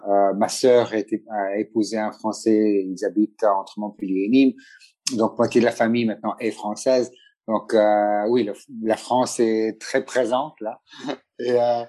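A man's voice: on the low side, steady tone, measured pace, dark tone. Hesitant man in casual talk. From Italy. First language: Italian. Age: middle-aged. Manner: hesitant, many restarts and fillers. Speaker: interview guest, fashion photographer. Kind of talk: casual conversational interview.

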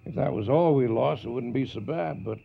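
An actor playing a steady, fatherly veteran cattle drover in a radio western, measured and matter-of-fact, with an American accent. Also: medium pitch, creaky, husky, highs cut off. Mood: calm.

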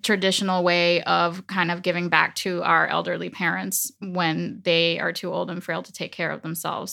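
A woman's voice: on the low side, gravelly, bright, forceful tone. A woman in her forties, raised in the Dominican Republic with Spanish as her first language, telling her story earnestly and reflectively as an interview guest. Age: 40s. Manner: earnest, reflective, laughs lightly. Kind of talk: casual personal storytelling.

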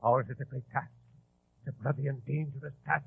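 A man's voice: medium pitch, fairly even intonation, fairly quick, creaky, breathy edge, no echo. An actor in 1940s radio style playing a nervous, vain, grandiose English madman who rants and repeats himself. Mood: curt, guarded. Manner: agitated, repeats himself, ranting. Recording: tinny, band-limited old radio broadcast.

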